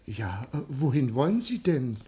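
A man acting an anxious, hesitant head of a struggling firm in a 1960 German radio drama, with a husky voice and questioning manner. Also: medium pitch, big pitch swings, moderate pace, dark tone. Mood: flustered.

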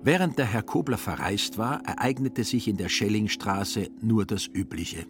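A man's voice: on the low side, moderate pace. A man narrating a literary radio play in a dry, ironic storytelling manner. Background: music.

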